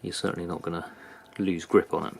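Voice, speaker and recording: A man with a British accent giving a casual, unscripted product review in a halting manner. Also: low-pitched, little pitch movement, creaky, a little hiss or hum. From United Kingdom. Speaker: knife reviewer. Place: indoors at a workbench.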